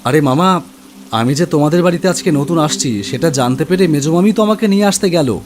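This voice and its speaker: A man voicing an anxious, bewildered young nephew in a cartoon horror story, questioning in a halting, startled way. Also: a little high, animated, lightly acted. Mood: puzzled, earnest. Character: anxious, bewildered young nephew visiting his uncle's house.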